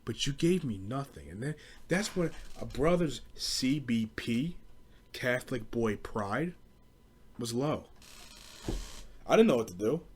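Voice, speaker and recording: A man's voice: mid-range voice, animated, a little slow, pauses often, darkish tone, a little hiss or hum. A young American man rambling through a casual, profane comedic rant as a podcast host, in slangy speech. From southeastern Pennsylvania, US.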